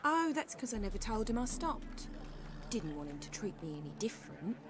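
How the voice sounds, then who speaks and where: A gushing, lively young working-class romantic. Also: female voice, fairly high pitch, moderate pace, noisy background. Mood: wistful, chatty. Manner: gushing, unpolished grammar. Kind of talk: video game dialogue acting. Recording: music behind the voice.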